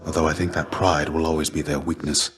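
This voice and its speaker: A man voicing a weary, gentle fallen Greek warrior as a fatherly mentor in a video game, speaking in a measured, formal, old-fashioned and earnest way. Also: a bass voice, level tone. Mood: grave, guarded. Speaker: voice actor. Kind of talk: video game character dialogue, measured and theatrical.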